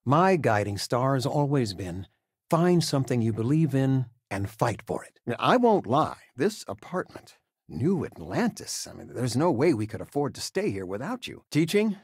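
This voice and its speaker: Retired teacher dad for a game: older man, lively intonation, husky, fond and gently joking, dry close sound. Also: medium pitch, normal speed, no echo. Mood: warm, reassuring. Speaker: voice actor. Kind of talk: video game character dialogue.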